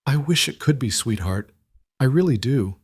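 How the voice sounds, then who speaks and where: A man's voice: medium pitch, lively intonation, moderate pace, husky, no room sound, clean studio sound, voice pushed up. Man voicing a tender, guilt-ridden woman. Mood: tender, sorrowful. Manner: gentle, careful, earnest.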